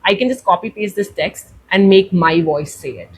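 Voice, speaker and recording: A woman's voice: fairly low pitch, normal speed, darkish tone, husky, rough recording. A young woman with an Indian accent hosting a tutorial, chatting through a step-by-step demo and leaning on "like" as she explains. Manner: chatty, leans on "like" while explaining. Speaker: tutorial host.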